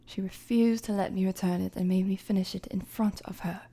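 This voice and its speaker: A woman playing a gentle, playful young queen in an intimate audio roleplay, speaking politely and formally in a soft, breathy, often near-whispered voice. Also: medium pitch, fairly even intonation, brisk. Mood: apologetic, lightly teasing.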